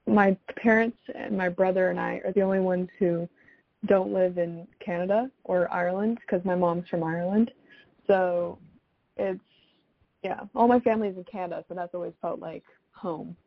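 A young woman answers interview questions in a casual, chatty way. Her voice sits on the low side and often slips into vocal fry. The sound is dry and slightly dull. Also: moderate pace, no echo. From South Florida, United States. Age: young adult. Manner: chatty, frequent "like" and youthful slang. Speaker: singer-songwriter guest.

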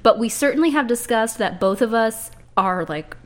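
A woman with a high-pitched voice co-hosting a true-crime podcast, playful and laughing often. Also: expressive, moderate pace. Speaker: podcast co-host. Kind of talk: casual banter about a true-crime case.

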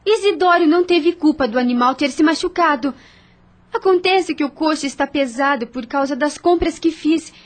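An actress playing a gentle young heiress in a radio drama, anxious and earnest. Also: female voice, high voice, moderate pace, lightly acted.

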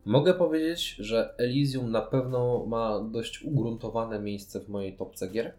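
A roomy, echoing recording of a man with faint music behind him. His voice is mellow and mid-pitched. He chats casually as a podcast co-host giving a review. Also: measured pace. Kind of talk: casual chat, board game review. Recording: roomy echo.

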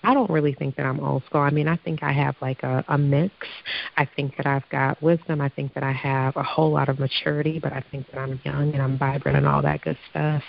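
A woman hosting a podcast in a casual, chatty, upbeat way, her voice hoarse from allergies. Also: sits low, level tone, highs cut off. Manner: chatty, upbeat, quick to laugh. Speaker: podcast host. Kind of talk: casual chat.

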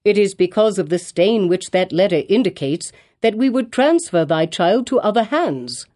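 A woman's voice: mid-range voice, lively intonation, moderate pace, warm tone, natural voice. A woman voicing a stern, pompous elderly male colonial governor in archaic speech.

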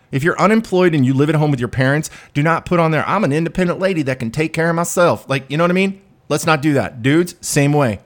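A middle-aged man holds forth on dating in a blunt, foul-mouthed monologue. His pitch is mid-range for a man. He moves between loud, pushy bursts and quieter asides. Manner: blunt, profane, swings between loud and low. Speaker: dating commentator. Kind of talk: ranting monologue.

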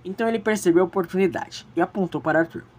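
A woman's voice: on the low side, racing, darkish tone. A woman with a Brazilian accent narrating an audio drama in a storytelling style. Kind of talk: storytelling narration, loosely scripted.

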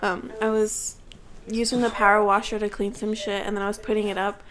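A woman's voice: medium pitch, level tone, fairly quick, fairly bright tone. A woman co-hosting a casual podcast, nasal and cursing casually as she tells a story. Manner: swears casually, laughing. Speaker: podcast co-host. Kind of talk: casual chat.

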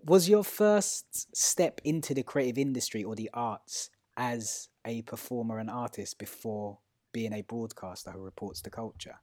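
A man co-hosts a casual interview. He has a middle-pitched voice in a dry recording and speaks in a tentative, inquisitive way. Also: moderate pace, a little mellow, dry recording. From London, England. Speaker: podcast co-host.

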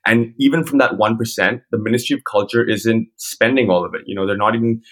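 A man's voice: on the low side, steady tone, fast talker, a bit bright. A man in his twenties co-hosting a podcast in a conversational interviewing style, wordy and often repeating his phrases. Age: 20s. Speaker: podcast co-host.

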